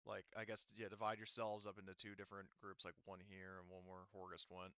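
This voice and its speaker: A low, bright male voice with a halting, think-aloud delivery, casually running a tabletop roleplaying game. The sound is close and dry, slightly dull on the top end. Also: monotone, smooth, dry recording. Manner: halting, thinking aloud while working out details. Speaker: game master.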